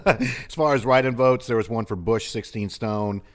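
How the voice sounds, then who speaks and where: A man's voice: medium pitch, moderate pace. A man hosting a podcast in casual, bantering conversation. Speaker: podcast host.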